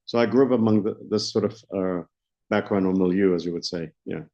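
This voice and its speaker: A South African man around sixty, a company founder answering interview questions in a measured way, often restarting as he searches for words. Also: deep voice, dark tone. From Johannesburg, South Africa. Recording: dull lo-fi recording.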